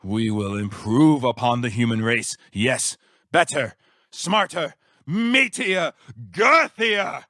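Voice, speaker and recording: An actor playing an eccentric, grandiose mad scientist in a video game, secretly villainous, with a theatrical, pompous, wordy manner. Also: male voice, medium pitch, animated, slow, sharp tone, no room sound, clean studio sound. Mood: gloating, manic. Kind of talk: video game character voice acting.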